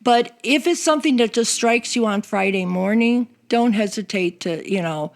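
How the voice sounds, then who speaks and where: A woman's voice: fairly high pitch, normal speed, creaky. An older woman chatting casually as a guest, announcing details of a church seniors group.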